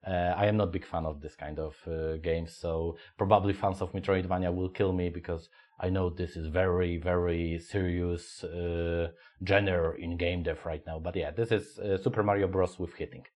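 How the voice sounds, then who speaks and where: A man with a Polish accent co-hosting a podcast, speaking English as a second language. He talks casually in a halting way, searching for words with many fillers and swearing freely. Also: deep voice, fairly even intonation, darkish tone. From Poland. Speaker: podcast co-host.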